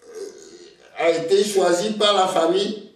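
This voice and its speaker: A man's voice: high voice, huge pitch swings, recorded from a distance. Older man from Togo, Ewe native speaker, reflective, repeating starts, heavy room echo, off-mic. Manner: slow, hesitant, repeats words when starting sentences. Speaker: interviewee, elder. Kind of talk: slow reflective storytelling.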